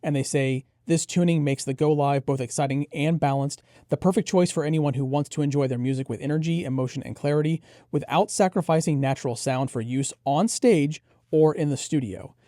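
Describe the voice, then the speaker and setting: A man's voice: a little high, fairly quick, warm tone, dry recording, clean studio sound. A man co-hosting a product review, explaining and giving his impressions in a conversational way. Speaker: headphone show co-host reviewer.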